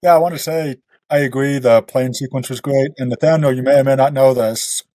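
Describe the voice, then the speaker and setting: A man with a mid-pitched voice chats informally on a podcast, teasing his companions in a friendly conversational style. The recording is dry and close. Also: fairly even intonation, fairly quick, mellow, no echo. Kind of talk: casual chat.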